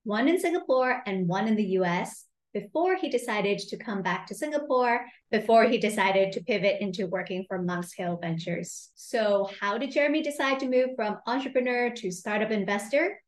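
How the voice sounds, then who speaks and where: A woman's voice: medium pitch, lively intonation, normal speed, few pauses, echoey room. A woman hosting a podcast interview, speaking in an upbeat, enthusiastic way. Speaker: podcast host and career coach.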